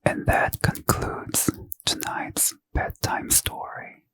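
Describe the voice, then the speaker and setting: Male ASMR reader, bedtime scripture in a slow, airy whisper with a sharp, bright edge. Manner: slow, soft unvoiced whisper.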